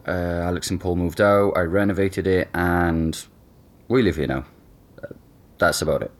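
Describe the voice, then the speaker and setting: Actor doing young guy getting interviewed at home, deep voice, casual, kinda hesitant, natural audio drama. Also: steady tone, normal speed, lightly acted. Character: easygoing young boyfriend reluctantly being interviewed at home.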